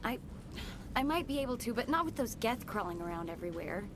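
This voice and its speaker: A woman's voice: fairly high pitch, very animated, a little fast, noisy background. A woman playing an anxious, apologetic young research assistant in a video game, speaking hesitantly and earnestly. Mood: anxious, uneasy. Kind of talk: video game dialogue acting.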